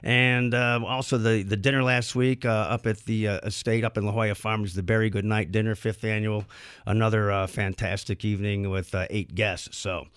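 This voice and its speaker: A man hosting a live talk radio show, getting over a head cold and a little raspy, talking with plenty of fillers and restarts. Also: lowish voice, flat delivery, fairly bright tone, no echo. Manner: halting, many fillers and restarts. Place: radio studio. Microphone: studio microphone.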